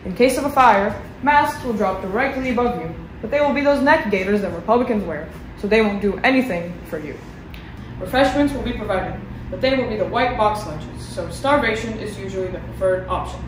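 A woman's voice: medium pitch, expressive, brisk, noisy background, close to an everyday voice. A woman playing hell's snarky flight attendant. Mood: sardonic. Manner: mock-polite announcement delivery. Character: sarcastic devilish flight attendant, woman, gleefully mean. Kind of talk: comic monologue. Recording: echoey room.

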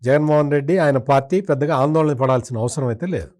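A man gives political commentary in an interview. His mid-pitched voice carries firm opinions, and he repeats points for emphasis as he criticises. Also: fast talker, a little mellow.

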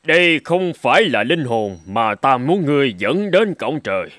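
A man's voice: medium pitch, big pitch swings, normal speed, a bit bright, dry recording, studio quality. A man voicing a stern, commanding saint who judges souls in a fairy-tale cartoon, with an authoritative, reproving manner. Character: stern, commanding male saint judging souls at heaven's gate.

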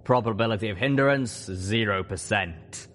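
A man voicing a big, clever male dragon in a storybook, wry and drawn-out in manner. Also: on the low side, a bit bright, slight background noise, lightly acted. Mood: smug.

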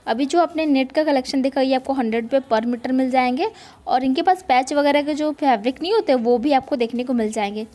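A woman's voice: high-pitched, a little fast, dark tone. A woman narrating a shopping vlog casually, gushing with repeated praise. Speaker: shopping vlogger.

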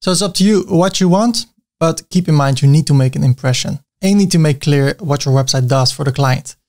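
A man's voice: a little high, a little fast, darkish tone. A man with a Dutch accent giving a chatty screen-recorded web design tutorial, with self-mocking asides.

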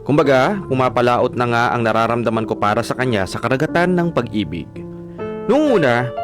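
A man's voice: mid-range voice, close to an everyday voice. An actor playing an earnest, smitten young man in a radio drama, with a Filipino accent. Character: smitten young male stockbroker, fisherman's son, earnest and shy. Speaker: actor and first-person narrator.

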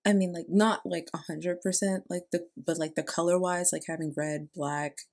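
A young adult woman giving casual reaction commentary in a gushing, expressive way. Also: on the low side, normal speed. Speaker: host. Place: small bedroom, indoors. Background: music.

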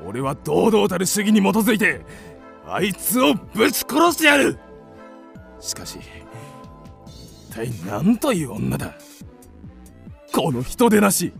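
A man's voice: mid-range voice, wildly swooping pitch, slow, creaky, pauses often, darkish tone, soft background music, full character voice. A man playing a blustering, hot-headed creditor given to impulsive declarations in a theatrical radio drama. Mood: indignant.

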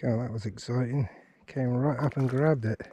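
Mellow, low-key man chatting outdoors. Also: fairly even intonation, normal speed, a little hiss or hum. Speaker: angler presenting a fishing diary. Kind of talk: casual outdoor vlog chat. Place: outdoors on a riverbank.

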